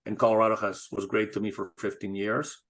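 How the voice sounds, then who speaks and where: A middle-aged man from Spain, a guest in an interview, talking in a measured, thoughtful way with frequent restarts. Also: on the low side, flat delivery, fairly bright tone. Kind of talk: casual interview talk.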